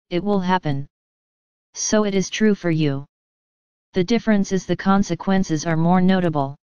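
A woman narrating a channelled spiritual message in a measured, earnest reading addressed to the listener. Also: lowish voice, a little mellow, smooth, dry recording. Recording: slightly dull, dry lo-fi voice-over.

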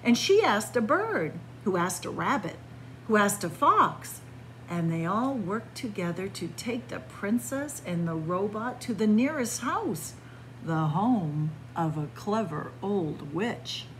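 A woman reading a picture book aloud as a library story time host, expressive and pausing deliberately between phrases. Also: mid-range voice, a little hiss or hum, poor audio. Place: indoors in a library, among bookshelves.

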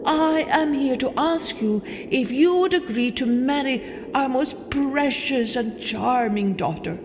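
A man's voice: extremely high pitch, lively intonation, takes it slowly, background noise, pitched above the performer's own voice, exaggerated voice. A man voicing a small, proud father mole who pleads formally and eagerly.

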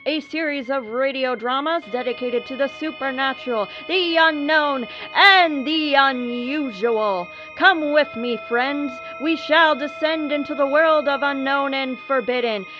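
A woman announcing a horror radio show in a theatrical, slow, ominous way. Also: high voice. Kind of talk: eerie scripted horror radio introduction. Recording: dull lo-fi sound with music.

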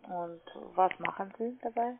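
A woman's voice: medium pitch, background noise. Russian-accented woman on a tinny phone line. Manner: halting, non-native German phrasing. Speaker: call-centre broker, phone scammer. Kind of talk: unscripted phone argument. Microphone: phone call.

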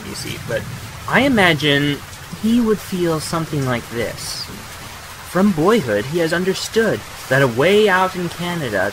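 Man acting gallant young suitor, gentle hesitant earnestness, very varied intonation, period audio play, rain noise behind. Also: mid-range voice, natural voice. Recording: clear rain and ambience behind.

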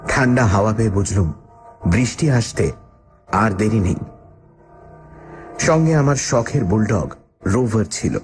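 Plucky proud traveller, lively pitch swings, dramatic first-person ghost-story narration, faint noise behind. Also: male voice.

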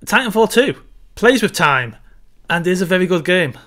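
A man's voice: high-pitched, sing-song, normal speed, sharp tone. A man in his thirties co-hosting a casual film chat from home, making dry, wry remarks. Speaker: podcast co-host. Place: home room, indoors.

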